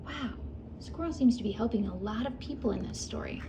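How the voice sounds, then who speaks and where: Expressive woman reading a picture book. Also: fairly high pitch, a little fast, background noise. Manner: expressive, asks listeners questions. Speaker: read-aloud host. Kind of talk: children's book read-aloud.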